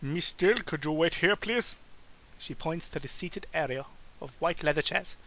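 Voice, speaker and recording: Bright, animated man reading theatrically. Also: a little high, low-quality recording. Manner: theatrical, mocking, often stumbling over words. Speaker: reader and host of a mocking dramatic reading. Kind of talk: comedic dramatic book reading with muttered asides.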